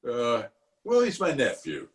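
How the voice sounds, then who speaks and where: Man as a slow, blustery radio-comedy uncle. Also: mid-range voice, wildly swooping pitch. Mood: warm, approving. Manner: blustery, hesitant, muttering. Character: blustery, grumbling middle-aged uncle, pompous but kindly. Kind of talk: 1940s radio comedy acting.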